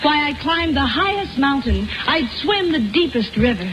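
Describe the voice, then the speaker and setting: High-pitched gushing sweetheart. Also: female voice, animated, normal speed, background noise. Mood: adoring. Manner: gushing, melodramatic. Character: adoring, sugary sweetheart of the timid hunter. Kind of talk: 1940s radio comedy acting. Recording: muffled vintage radio recording.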